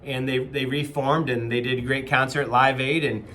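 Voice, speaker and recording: A man's voice: mid-range voice, level tone, moderate pace, bright, forceful tone, a little hiss or hum. A man in his forties, a company leader, giving an earnest, casual motivational talk from a small office with many fillers and restarts. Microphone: webcam at desk distance.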